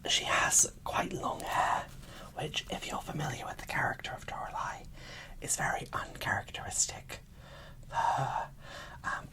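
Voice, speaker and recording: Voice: medium pitch, sharp tone. Whispering ASMR creator. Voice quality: breathy whisper. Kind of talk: whispered ASMR unboxing commentary.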